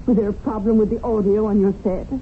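A woman's voice: medium pitch, steady tone, fast, narrow, tinny audio, lightly acted. A woman playing a glamorous, gushing society woman in a 1970s radio drama, coy, airy and affectionate. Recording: thin, noisy 1970s radio recording.

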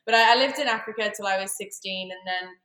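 A woman's voice: medium pitch, quick pace, a bit bright. A woman in her thirties from Zambia with an Australian accent, chatting as an interview guest in an upbeat, talkative way. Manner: chatty, upbeat, many fillers and restarts. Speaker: guest, marketing strategist. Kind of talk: casual interview talk. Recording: echoey room.

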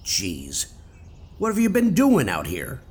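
A man's voice: medium pitch, wildly swooping pitch, takes it slowly, a little mellow, slightly rough, full character voice. A man playing a stern, accusing police detective in a radio theater drama. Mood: incredulous.

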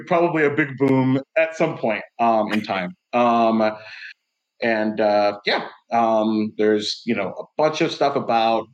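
A man's voice: medium pitch, lo-fi sound. A man playing a tabletop game, describing scenes in a rambling, casual way with many fillers. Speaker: player.

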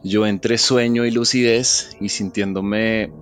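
Soft-spoken low-voiced man. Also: fairly even intonation. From Venezuela. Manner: soft, reflective, halting. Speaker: storyteller. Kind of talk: personal storytelling.

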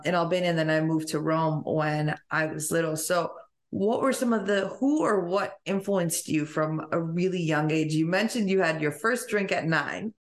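A woman hosting a podcast interview, effusive and full of enthusiastic praise. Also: on the low side. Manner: effusive, gushing. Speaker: podcast host. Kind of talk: casual interview.

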